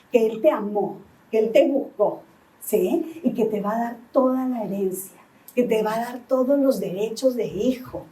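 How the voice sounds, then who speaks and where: High-voiced Peruvian woman preaching unhurriedly. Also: a little mellow, distant mic, rough recording. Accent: Peruvian (Lima). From Peru, Lima. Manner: asks the congregation "¿sí?" again and again. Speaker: pastor. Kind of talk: sermon. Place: church.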